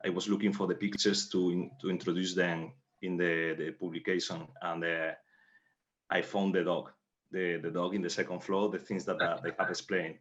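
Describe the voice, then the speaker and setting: A man's voice: low voice, little pitch movement, moderate pace, fairly bright tone. A man with a Spanish accent, a native Spanish speaker, giving reflective interview answers and searching for words as he goes. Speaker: playwright on a panel. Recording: dull, lo-fi audio.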